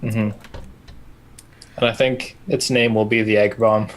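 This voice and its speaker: A man's voice: lowish voice, flat delivery, a little slow. A man playing a tabletop role-playing game, making offhand jokes. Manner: joking, offhand. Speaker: player. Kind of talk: casual tabletop role-play chat.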